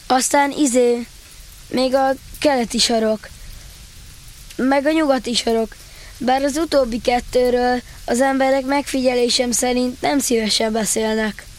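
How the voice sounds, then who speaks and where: Woman doing a little boy, high voice, explaining stuff like he knows, storybook audio drama. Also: fairly even intonation, lightly acted. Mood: knowing, thoughtful. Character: young boy, helpful and matter-of-fact. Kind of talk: children's audio drama acting.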